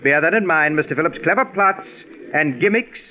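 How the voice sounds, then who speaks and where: An actor playing a pompous, egotistical radio producer with precise, condescending diction, in the style of 1940s radio drama. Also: male voice, on the high side, lively intonation, fairly quick, natural voice. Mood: self-important. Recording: muffled, narrow-band 1940s radio recording.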